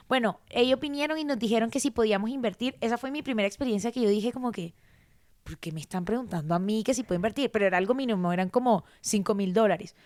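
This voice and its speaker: Woman, fairly high voice, animated, casual finance chat. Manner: animated, explanatory, repeats words for emphasis. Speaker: guest. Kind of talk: casual conversation.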